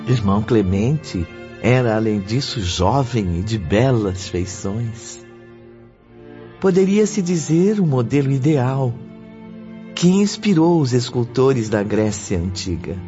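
An actor playing a solemn narrator of a radio drama, telling the story in a formal, deliberate manner. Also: male voice, mid-range voice, sing-song, warm tone. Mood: solemn, expressive. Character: solemn male storyteller addressing the listener. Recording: dull lo-fi audio. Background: background music.

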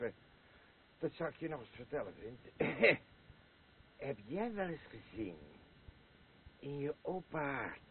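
An actor playing a boastful, crude and rambling drunken old war veteran in a radio play, with a husky voice. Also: male voice, mid-range voice, a little hiss or hum, lightly acted. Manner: slurring, rambling, halting, blustering. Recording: muffled lo-fi radio play recording.

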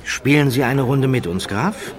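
A man voicing a discreet, flattering gentleman passenger, courteous and confiding, in an audiobook. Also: medium pitch, unhurried, pitched above the performer's own voice, natural voice. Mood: confiding, inviting. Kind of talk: audiobook character dialogue.

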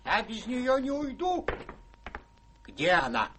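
An actor playing a blustering, impatient old baronet, brusque and demanding, in radio-drama style. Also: male voice, very high-pitched, wildly swooping pitch, slow pace, bright, forceful tone, a little hiss or hum, exaggerated voice. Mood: angry, demanding. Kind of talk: stage-trained radio drama acting.

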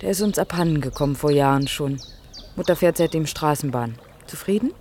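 A woman's voice: low voice, a little mellow, slight background noise, close to an everyday voice. An actress playing a cheeky, outspoken young woman in her early twenties, teasing and ironic, in a naturalistic German radio drama. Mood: spirited, argumentative. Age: young adult. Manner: teasing, ironic, quick-witted.

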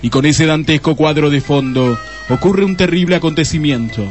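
An actor playing a grave, melodramatic narrator with an Argentine accent in a parody radio drama. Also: male voice, on the high side, moderate pace, soft background music, lightly acted. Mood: grave, portentous. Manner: mock-solemn, portentous.

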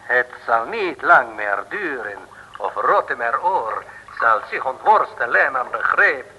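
Slow, menacing Russian general. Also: male voice, mid-range voice, very animated, bright, forceful tone, full character voice. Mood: stern interrogating. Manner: slow, formal, coldly threatening. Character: menacing pompous Russian general and people's commissar. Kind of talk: 1950s radio drama acting. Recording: old radio recording, reverberant, hissy.

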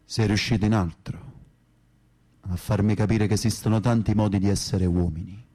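An actor playing a tough, streetwise Camorra foot soldier in prison, blunt and commanding, with a Neapolitan accent, in a naturalistic radio drama. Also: male voice, on the low side, fairly even intonation, moderate pace, warm tone, lightly acted. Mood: earnest, firm.

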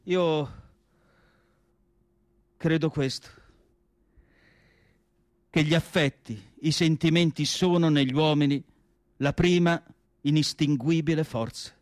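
Earnest, melancholy doctor, higher-pitched male voice. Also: fairly even intonation, takes it slowly, pauses often, no echo, clean studio sound. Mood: solemn, impassioned. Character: earnest, melancholy male asylum doctor recalling his life's work. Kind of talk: theatrical radio drama monologue.

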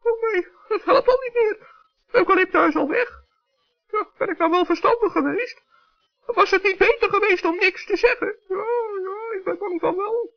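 A man voicing a small, naive gnome in a children's radio play, squeaky, childlike, earnest and dithering. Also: moderate pace. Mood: worried dithering. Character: small male gnome, naive, curious, hesitant. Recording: dull 1960s radio recording.

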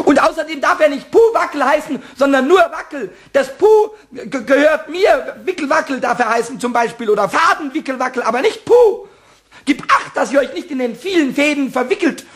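An actor playing a small, mischievous and jealous male kobold in a radio play, with a raspy, croaky voice and a sulky, stammering, stubborn way of talking. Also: very high-pitched, fairly bright tone, exaggerated voice.

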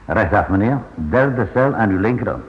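An actor playing a formal, businesslike prison guard in a Dutch radio play. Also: male voice, on the low side, lively intonation, normal speed, close to an everyday voice. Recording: muffled, noisy old radio play recording.

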